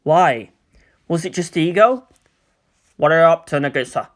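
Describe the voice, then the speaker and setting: A man's voice: fairly high pitch, expressive, normal speed, lightly acted. A man voicing a stern, unsettled army general in a story, demanding and snarling. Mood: wary.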